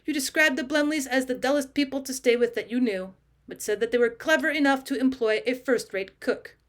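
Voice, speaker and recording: A woman's voice: high voice, fairly quick, close to an everyday voice. A woman voicing a coldly sardonic talking male cat in a storybook reading, haughty, unruffled and cutting. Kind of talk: audiobook character voice, British classic short story.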